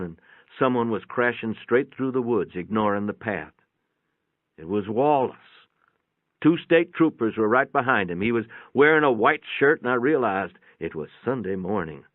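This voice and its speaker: A man giving a dramatic first-person reading of a short story in a measured, reflective way. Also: on the low side, no room sound. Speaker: story reader. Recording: thin band-limited phone-like sound, dry.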